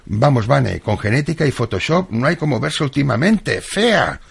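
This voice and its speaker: A man's voice: mid-range voice, big pitch swings, normal speed. A man hosting casual live radio chat, informal and tripping over names and words now and then. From Catalonia, Spain. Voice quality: gravelly, husky. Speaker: radio host.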